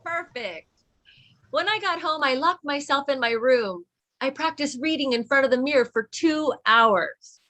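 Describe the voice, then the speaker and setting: Female read-along leader with a high-pitched voice, encouraging young listeners and prompting them to join in. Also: animated, a little slow, sharp tone. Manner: encouraging, asks children to repeat. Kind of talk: reading a children's book aloud to pupils.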